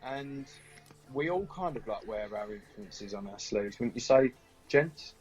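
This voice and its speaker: A man, a band member in a casual interview, talking in a halting, colloquial way. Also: medium pitch, expressive, a little mellow, poor audio. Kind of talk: casual chat. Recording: music playing behind. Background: background music.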